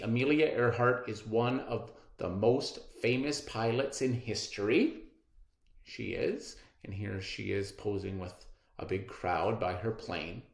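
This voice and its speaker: A man teaching a reading lesson to children, talking in an animated, encouraging way and reading a story aloud. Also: mid-range voice, echoey room. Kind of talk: classroom read-aloud lesson.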